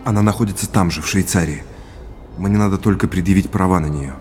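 An actor playing a sincere, grateful heir in an audio drama, speaking in measured, earnest short phrases. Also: male voice, low voice, music in the background, natural voice. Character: Oleg, sincere grateful heir to a family legacy.

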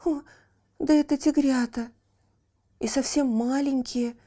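A woman's voice: high-pitched, fairly even intonation, measured pace, warm tone, voice pushed up. A woman voicing a kind, brave and eager young boy in an audio fairy tale, earnest and exclaiming. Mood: encouraging.

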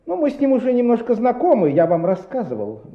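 Casting: fussy inspector for radio drama, stern, officious, in an echoey, muffled old recording. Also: female voice, on the high side, huge pitch swings, fast talker. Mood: officious, reproving. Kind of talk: Soviet radio play acting.

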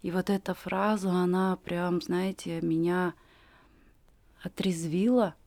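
A woman in her forties telling her personal story as a podcast guest, earnest and reflective, pausing often with fillers. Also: fairly low pitch, fairly even intonation, darkish tone.

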